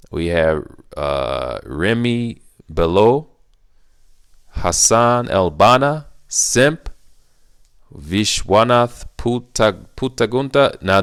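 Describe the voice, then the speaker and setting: A man's voice: deep voice, fairly bright tone, smooth, dry recording, studio quality. A man hosting a casual solo Q&A video, rambling and restarting his sentences in a laid-back, playful way. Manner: rambling, repeats himself, restarts often. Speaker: YouTube Q&A host.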